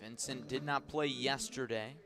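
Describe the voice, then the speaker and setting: A man doing live radio baseball play-by-play as the announcer, measured between pitches and lifting into excited calls on the action. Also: over music. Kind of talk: live radio sports commentary.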